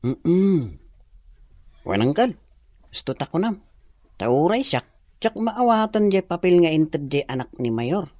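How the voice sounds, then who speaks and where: A man's voice: fairly high pitch, big pitch swings, slow, long pauses, dark tone, no room sound, heavily acted. A man acting a plain-spoken young village nephew with an Ilocano accent in a radio drama. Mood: earnest.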